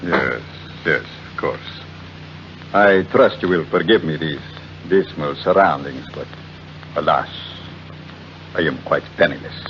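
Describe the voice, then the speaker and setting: Deep-voiced, oily, courteous blackmailer. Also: male voice. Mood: oily, polite. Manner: mock-courteous, formal, unhurried. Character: smug, oily blackmailer, shabby genteel man about fifty. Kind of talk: 1940s radio acting. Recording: dull, noisy old radio recording.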